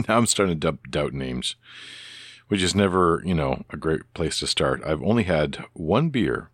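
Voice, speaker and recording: A man with a deep voice talking casually into a close microphone on his podcast, rambling and hesitant with many restarts and fillers. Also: animated, a little slow, a bit bright, slightly rough, no echo. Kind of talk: casual spoken reply to another podcaster.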